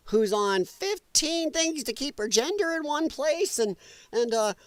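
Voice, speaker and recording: A man mockingly playing a smug, condescending government hiring bureaucrat in a faux-reasonable, drawn-out manner. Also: very high-pitched, dry recording, pitched above the performer's own voice, full character voice.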